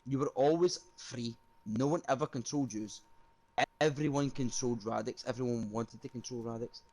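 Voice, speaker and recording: A man's voice: medium pitch, normal speed, darkish tone, poor audio. Confrontational Scottish man firing questions. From Scotland. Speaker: game player. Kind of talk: heated in-character argument.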